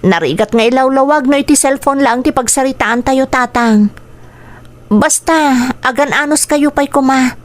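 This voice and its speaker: Radio drama: actress as earnest female worker and daughter, Ilocano accent, plaintive delivery, higher voice. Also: moderate pace, close to an everyday voice. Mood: earnest, apologetic. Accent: Filipino, Ilocano. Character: earnest female employee, dutiful daughter, aggrieved over pay.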